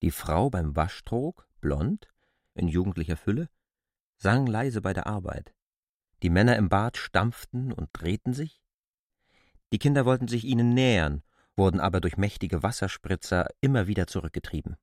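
A man's voice: on the low side, lively intonation, normal speed, no room sound, clean studio sound. A man narrating a literary classic as a German radio drama.

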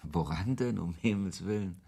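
Deep, husky, ironic author-narrator. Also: male voice, normal speed, warm tone, exaggerated voice. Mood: teasing. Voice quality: low, husky voice. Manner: literary, sardonic, reflective. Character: brooding, ironic male author narrating his own story. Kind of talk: first-person radio play narration and dialogue.

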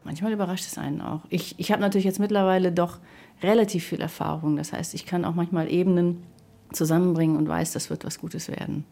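Mellow, creaky-voiced woman. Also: fairly low pitch, steady tone, fast. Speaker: experienced radio artist. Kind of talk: reflective interview statement.

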